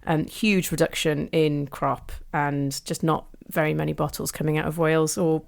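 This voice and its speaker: Lady co-host, lowish voice, explains stuff calmly. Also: normal speed, creaky. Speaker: podcast co-host. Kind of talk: casual podcast chat.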